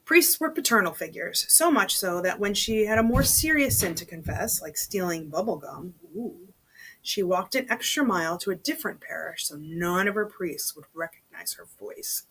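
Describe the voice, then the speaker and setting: A woman's voice: fairly low pitch, animated, normal speed, fairly bright tone, breathy edge, poor audio. A woman reading a novel aloud as a storytelling host, chatty, dropping into casual muttered asides. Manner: chatty, breaks off to comment in undertones.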